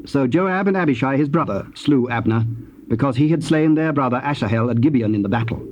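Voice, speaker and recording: Casting: man as formal scripture storyteller, average-pitched voice, measured reading, slightly noisy tape transfer. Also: lively intonation, a little mellow. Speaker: narrator.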